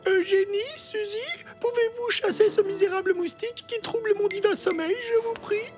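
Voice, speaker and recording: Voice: very high-pitched, normal speed, music in the background. A performer voicing a pompous, whiny cartoon wild boar who believes he is a sacred royal cat, in a French dub. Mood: regal, disdainful. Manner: grandiose, whiny, indignant.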